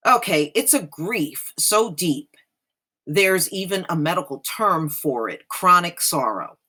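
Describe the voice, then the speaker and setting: A woman's voice: on the low side, lively intonation, slow, sharp tone, slightly rough. An American woman hosting, reading an article aloud and adding plain-spoken casual commentary.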